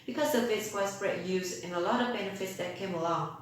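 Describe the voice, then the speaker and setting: A woman's voice: medium pitch, a little fast, slight background noise. A teenage girl from Vietnam speaking English with a Vietnamese accent, giving an earnest, rehearsed contest speech with rhetorical questions. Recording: very echoey room.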